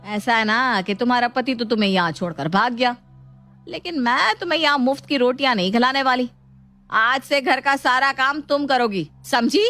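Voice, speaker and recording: Woman as a forceful, spiteful sister-in-law. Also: high-pitched, moderate pace, soft background music. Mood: taunting, angry. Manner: taunting, haughty. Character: domineering, spiteful sister-in-law lording over household. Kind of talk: acted moral storytelling.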